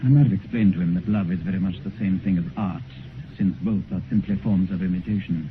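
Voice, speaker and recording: An actor playing a cynical, witty, urbane gentleman with an upper-class English accent, amused and condescending, in a 1950s radio drama. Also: low-pitched, fast, lightly acted. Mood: wry narration. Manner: languid, epigrammatic, amused condescension. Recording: tinny, noisy 1950s radio recording.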